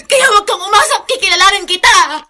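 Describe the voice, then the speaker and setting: Bitter, guilt-wracked young daughter, very high, sharp voice, defiant melodrama delivery. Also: female voice, animated, brisk, full character voice. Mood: tearful anger. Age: young adult. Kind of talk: Filipino radio-style melodrama acting.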